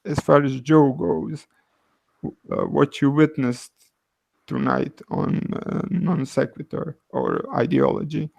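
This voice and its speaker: A man from Croatia speaks with a Croatian accent as a caller in an online chat. His voice is gravelly and he talks very slowly, pausing on many uhs. The sound is dry and close. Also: fairly high pitch, animated, darkish tone, dry recording. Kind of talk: casual online discussion.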